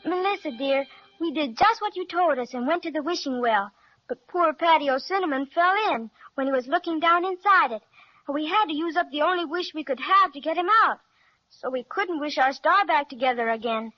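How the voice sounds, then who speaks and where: A woman's voice: high voice, fairly quick, no room sound, close to an everyday voice. A female performer playing an earnest, polite little American girl in a 1930s children's radio serial. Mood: pleading. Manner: earnest, wide-eyed, polite. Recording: muffled vintage 1930s radio recording.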